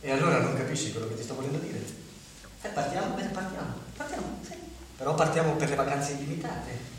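Actor as anxious middle manager, jittery laughs, stage recording from afar. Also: male voice, on the high side, animated, quick pace, close to an everyday voice. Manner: nervous, defensive, jittery laughs. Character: anxious male office manager fearing layoffs. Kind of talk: theatre acting recorded for radio. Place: theatre stage. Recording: echoey stage from far off, noisy.

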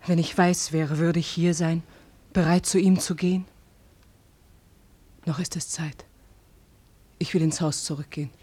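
A woman's voice: lowish voice, steady tone, a little slow, pauses often, dark tone, breathy edge. A woman playing a proud young daughter devoted to her lover in a radio play, earnest and dramatic. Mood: yearning.

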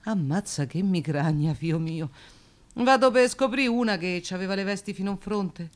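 A woman's voice: mid-range voice, moderate pace, lightly acted. An actress playing a brash, mocking young working-class woman in a radio play, with a slangy, drawling Roman accent. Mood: weary, scornful. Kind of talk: theatrical radio drama dialogue.

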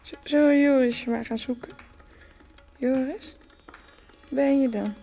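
A woman's voice: high voice, fairly even intonation, measured pace, faint music behind. An actress playing a dreamy, teasing woman who rambles in musing repetitions in a Dutch radio play. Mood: playful, coaxing. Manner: musing, repetitive, breaks into soft laughs.

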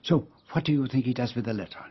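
An actor playing an astute, theatrical Belgian detective with a French accent, speaking formally and precisely in a radio drama. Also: male voice, medium pitch, fast talker, slightly rough, no echo, muffled recording, close to an everyday voice. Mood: dramatic explaining. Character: astute, theatrical Belgian male detective, fussy and gently teasing.

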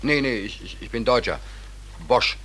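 A man's voice: mid-range voice, moderate pace, sharp tone, breathy edge. An actor playing an easygoing drifter in a 1940s German radio play, hesitant and polite with a mock-naive manner, repeating his words. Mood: naive candour. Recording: noisy old 1940s radio recording.

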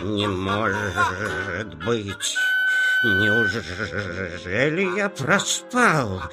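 A drowsy bumblebee who drawls slowly in a lowish voice, just woken from his winter sleep. Loud music plays right under the storybook character. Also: animated. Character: sleepy male bumblebee, easygoing.

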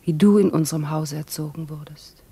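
An actress playing a wounded, dignified young wife and mother, measured and solemn, in a 1950s German radio drama. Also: low voice, dark tone, breathy edge, exaggerated voice. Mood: sad resolve.

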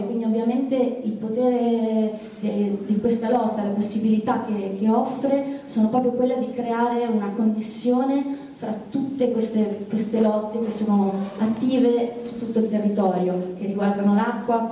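Echoey, distant woman with very mellow voice. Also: mid-range voice. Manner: halting, repeats words while searching for phrasing. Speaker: activist speaker at a press conference. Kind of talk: political public speech, unscripted. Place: large indoor theatre. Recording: very echoey hall, distant microphone.

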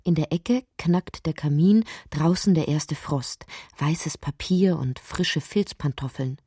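A woman narrating a poetic, absurd literary radio drama in deliberate, measured phrases. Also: low voice, warm tone, breathy edge, no room sound, clean studio sound, heavily acted. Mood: musing, wry.

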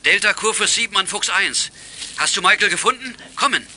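A man's voice: high voice, expressive, a little fast, noisy background. A middle-aged man playing a commanding, concerned father who gives clipped radio orders in a children's radio play. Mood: urgent.

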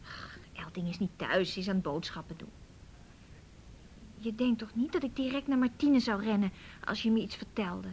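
A woman's voice: mid-range voice, normal speed, darkish tone, slight background noise, lightly acted. An actress playing an outspoken, inquisitive young woman with an emotional, probing manner in a naturalistic radio drama. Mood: pleading. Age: young adult.

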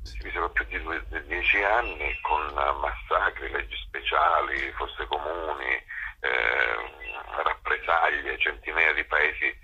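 An extremely low, creaky male voice, raspy and sharply forward in tone. He talks like a stage director being interviewed, earnest and full of drawn-out hesitations. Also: bright, forceful tone, muffled recording. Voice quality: gravelly, raspy. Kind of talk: conversational radio interview.

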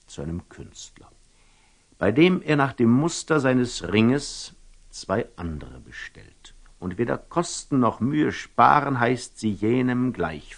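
An actor playing a wise, wary older merchant in a classical German radio drama, speaking verse deliberately and theatrically, often falling to a whisper. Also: male voice, on the low side, heavily acted. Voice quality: husky, gravelly, often dropping to a whisper. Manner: deliberate, ruminative, theatrical storyteller with dramatic pauses.

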